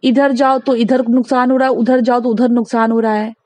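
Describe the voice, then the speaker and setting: A woman with a somewhat high-pitched voice chats casually on a recorded phone call. The sound is close and dry. She speaks insistently, repeating phrases as she gives advice. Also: little pitch movement, a little fast, a little mellow, no room sound. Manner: insistent, repeats herself, advising. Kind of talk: casual chat, phone conversation.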